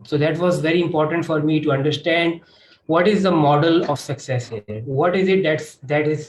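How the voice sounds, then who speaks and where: A man speaking as a panelist, laying out points in a measured, methodical way. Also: a little high, roomy sound, a little hiss or hum, low-quality recording. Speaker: panelist, doctor. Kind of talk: explanatory panel talk.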